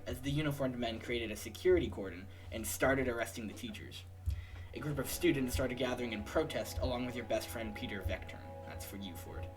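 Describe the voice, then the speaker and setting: A man's voice: medium pitch, low-quality recording. A man reading a video game's narration aloud to friends in an informal way, with casual asides. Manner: informal, swearing, joking asides. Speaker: host reading the game aloud.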